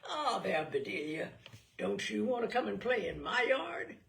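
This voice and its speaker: Lady reader as a cheeky tramp guy acting the gentleman, brazen, creaky, expressive. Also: medium pitch, a little slow, reverberant, heavily acted. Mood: flirtatious. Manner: brazen, mock-pompous. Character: cheeky male vagrant with mock-gentlemanly airs.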